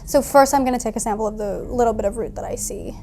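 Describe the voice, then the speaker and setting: A woman talking casually as a player at a tabletop game, deliberate and analytical, with music in the background. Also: on the high side, noisy background. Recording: music bed behind the voice.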